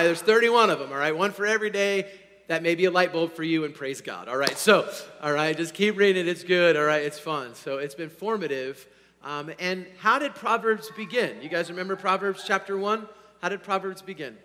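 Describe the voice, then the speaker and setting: Hoarse, energetic pastor in a hall. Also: male voice, a little high. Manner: energetic, swinging from loud to quiet, repeats "all right?". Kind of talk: sermon. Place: church sanctuary. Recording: long hall reverb.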